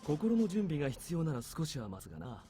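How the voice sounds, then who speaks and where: A calculating military major in a visual novel, calm and dryly authoritative. His voice is soft-edged and mellow, yet it moves along briskly. Faint music sits behind him. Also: on the high side. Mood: matter-of-fact. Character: calm, calculating male military major giving covert orders.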